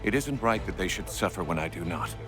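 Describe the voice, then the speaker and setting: An actor playing a weary, solemn commander of a revolutionary army, speaking in a formal, measured way in a game cutscene. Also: male voice, sits low, animated, music in the background. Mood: resolute. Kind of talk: dramatic video game cutscene acting.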